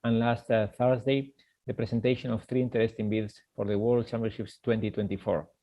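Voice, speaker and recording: Guy running a formal video meeting, lots of uh pauses, kinda dull lo-fi sound. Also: fairly low pitch, little pitch movement. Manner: measured and formal, with frequent "uh" pauses. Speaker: meeting chair, secretary general. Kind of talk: formal online meeting introduction.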